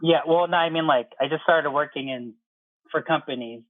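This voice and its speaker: An American man in his thirties talking casually as an interview guest, explaining things in a halting way and often rephrasing. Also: a little high, dry recording, narrow, tinny audio. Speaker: interview guest, air traffic controller.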